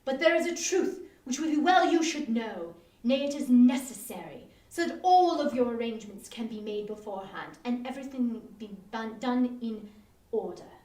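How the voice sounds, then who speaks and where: Victorian husband, played by a woman: jovial, theatrical, teasing gentleman; lively swings in pitch, distant mic. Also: normal speed, natural voice. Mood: mock tragic. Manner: theatrical, old-fashioned gentlemanly speech. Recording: roomy, distant-mic live online reading.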